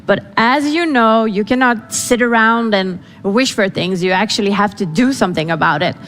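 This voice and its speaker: A woman's voice: medium pitch, a bit bright, long reverb tail. A woman whose first language is Farsi gives a dramatic storytelling speech, acting out the voices she quotes. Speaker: speaker. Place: event hall.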